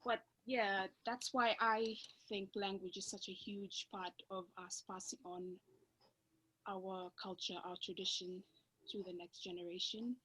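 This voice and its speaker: A woman's voice: medium pitch, steady tone, a little slow, bright, forceful tone, breathy edge, rough recording. A woman from Fiji with a Fijian accent, whose first language is Fijian, speaking as a panelist in a community discussion over a video call, thoughtful and hesitant with many filler sounds.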